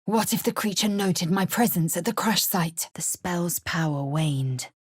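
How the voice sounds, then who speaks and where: A woman playing a fierce, proud githyanki warrior in a video game, with a husky, raspy voice and a clipped, haughty, formal manner. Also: fairly low pitch, a little slow, dry recording, studio quality. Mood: solemn, brooding. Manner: clipped, haughty, imperious, formal archaic phrasing.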